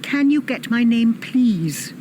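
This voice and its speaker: A woman acting a sarcastic, impatient older cafe customer in an audio drama. Her voice is fairly high and swings in pitch as she scolds and demands. It was recorded outdoors over clearly audible cafe and traffic noise. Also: animated, slow. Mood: tart, impatient. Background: cafe and street ambience.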